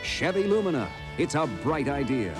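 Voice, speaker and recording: A man's voice: fairly high pitch, sing-song, moderate pace. A man reading a scripted radio car commercial with an upbeat salesman's delivery. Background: guitar music.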